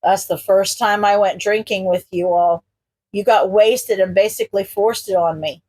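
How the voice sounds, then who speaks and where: A woman voicing a gruff, weary male hero in an audiobook, with a curt, sweary, grumbling way of talking. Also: medium pitch, fairly even intonation, normal speed, darkish tone, no room sound, voice pushed down, lightly acted. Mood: teasing. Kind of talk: audiobook character voice.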